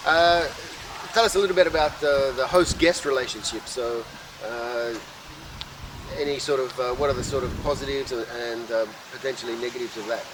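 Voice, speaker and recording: A man's voice: medium pitch, steady tone, moderate pace. A man hosting an interview in an informal, colloquial way, introducing and asking questions. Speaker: show host and interviewer. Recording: distant mic with clear urban outdoor noise.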